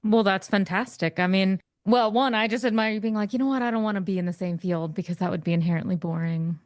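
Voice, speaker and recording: A woman's voice: mid-range voice, quick pace, no room sound, studio quality. A woman hosting an interview podcast, chatting casually and encouraging her guest with enthusiastic remarks. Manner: enthusiastic, encouraging, uses fillers. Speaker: podcast host.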